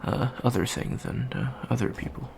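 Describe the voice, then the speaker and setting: Gravelly, awkward young alien student voiced by a man. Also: medium pitch, moderate pace, darkish tone, a little hiss or hum. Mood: awkward, chatty. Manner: hesitant, stammering, awkward small talk. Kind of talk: improvised ASMR roleplay, casual chat.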